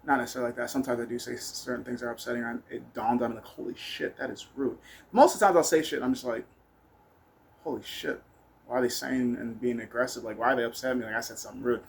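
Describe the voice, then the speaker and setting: A man's voice: medium pitch, fairly even intonation, fast talker, vocal fry. Man rambling candidly, noisy recording. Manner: blunt, candid, swearing. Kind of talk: casual personal storytelling, rambling monologue. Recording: noticeable background noise.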